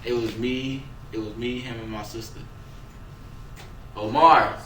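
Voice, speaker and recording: A man's voice: medium pitch, steady tone, unhurried. A young man, a rapper being interviewed, chats casually in slangy talk and often repeats his phrases. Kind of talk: casual chat, telling stories. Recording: roomy, distant mic, loud background noise.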